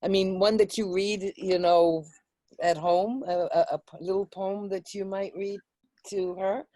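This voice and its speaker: Mellow-voiced woman, dry close sound. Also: medium pitch, steady tone, unhurried, no echo. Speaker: discussion participant prompting others. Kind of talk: casual discussion talk.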